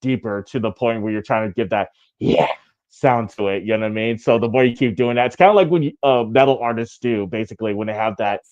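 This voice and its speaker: A man with a deep, raspy voice talks easily as a guest in a casual interview. He is laid-back and keeps dropping "you know what I mean". The sound is a little dull and lo-fi. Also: quick pace, a little mellow. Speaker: guest rapper.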